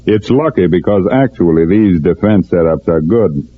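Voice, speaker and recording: An actor playing a scheming, businesslike male head of a front organization in 1940s radio drama, speaking in terse, deliberate phrases. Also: low voice, expressive. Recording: noisy, tinny old radio recording.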